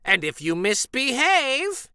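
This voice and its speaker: A man voicing a scary, strict witch of a schoolteacher, hissing and snapping menacing drawn-out commands in a children's storybook. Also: very high voice, wildly swooping pitch, bright, forceful tone, smooth, dry recording, clean studio sound, pitched above the performer's own voice, full character voice. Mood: stern threatening.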